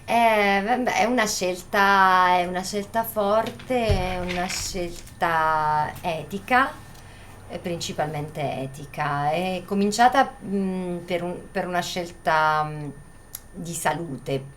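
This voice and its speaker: A woman guest talking casually and hesitantly, pausing with fillers. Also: on the low side, fairly bright tone, a little hiss or hum. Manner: speaks hesitantly with pauses and fillers. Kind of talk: casual conversation.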